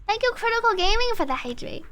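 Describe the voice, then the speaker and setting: A woman's voice: extremely high pitch, sing-song, fast, fairly bright tone. A woman streamer giving live, exclamatory reaction commentary over a game. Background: game music behind the voice.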